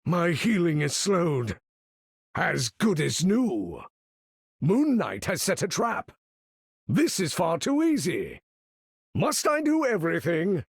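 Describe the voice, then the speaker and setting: A man's voice: sits high, huge pitch swings, slow pace, vocal fry, long pauses, husky, no echo, clean studio sound, full character voice. A man voicing an imperious older supervillain in a video game, commanding battle with pompous, theatrical, formal diction. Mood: strained, then disdainful.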